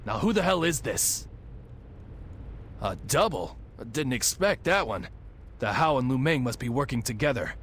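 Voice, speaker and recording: An actor playing a blunt, wry officer in a game cutscene. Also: male voice, mid-range voice, very animated, moderate pace, long pauses, fairly bright tone, slight background noise. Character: gruff wry male officer.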